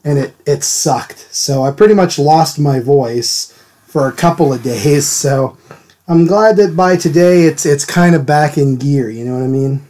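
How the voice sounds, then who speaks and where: A man hosting a live drawing stream, a little hoarse from a cold, chatting casually and greeting viewers by name. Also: fairly high pitch, a little slow, mellow. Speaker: art stream host. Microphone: desk mic, sometimes turned away from it.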